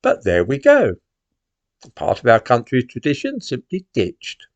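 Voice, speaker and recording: A man's voice: medium pitch, wildly swooping pitch, unhurried, dry recording, lo-fi sound. An older man with an English accent delivering an opinion monologue in a sardonic, indignant manner, leaning on rhetorical questions. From England. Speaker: commentator, host.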